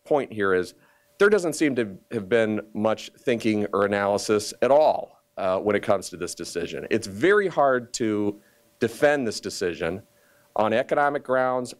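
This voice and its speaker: A man speaking as a county official at a press conference, deliberate and emphatic, pausing often in a formal statement. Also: fairly low pitch, lively intonation.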